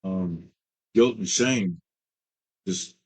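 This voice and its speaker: Man, fifties, very deep voice, husky, halting, many fillers, recovery client. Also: a little mellow, poor audio. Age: 50s. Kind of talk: personal recovery story. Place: treatment center.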